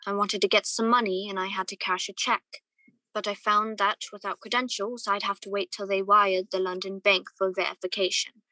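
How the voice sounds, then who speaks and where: A wistful young gentleman played by a woman tells his love story in a radio play. The voice is bright and forward, recorded close and dry. He sounds earnest and torn. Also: medium pitch, level tone, moderate pace, dry recording, lightly acted, rough recording. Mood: wistful narration. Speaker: student or guest actor in a radio play. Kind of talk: radio play acting with first-person narration.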